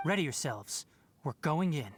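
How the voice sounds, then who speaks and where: A man voicing a calm, serious young forest watchman in a video game, speaking in a measured, analytical, firm way. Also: a little high, slight background noise, lightly acted. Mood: watchful, resolute. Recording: game music over voice.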